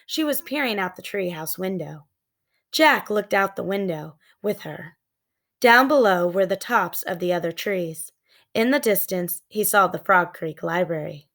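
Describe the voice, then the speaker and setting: A woman's voice: on the low side, animated, unhurried, fairly bright tone. A woman reading scripted narration from a children's storybook. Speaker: storybook reader.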